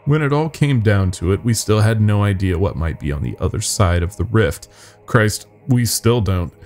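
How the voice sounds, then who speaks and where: A man voicing a nervous young company worker confessing secrets in a halting, grave horror-story telling. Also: lowish voice, expressive, a little mellow, soft background music, natural voice. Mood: regretful.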